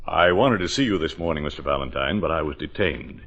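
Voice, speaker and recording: An actor playing an eerily calm gentleman who speaks as a dead man, in 1940s radio drama style. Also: extremely low pitch, brisk, heavily acted. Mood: solemn, composed. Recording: dull old radio recording.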